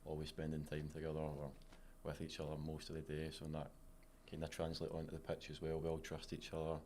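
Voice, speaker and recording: A man's voice: extremely low pitch, monotone, fast, dark tone, slight background noise. A young man with a Glaswegian accent, a student soccer captain giving brief, plain interview answers in a college studio. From Scotland. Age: young adult.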